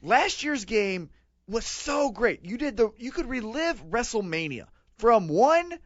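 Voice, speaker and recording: A man's voice: extremely high pitch, big pitch swings, measured pace, dry recording, lo-fi sound. A man in his late twenties co-hosting a podcast, rambling and joking in casual chat. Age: 20s. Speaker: podcast co-host.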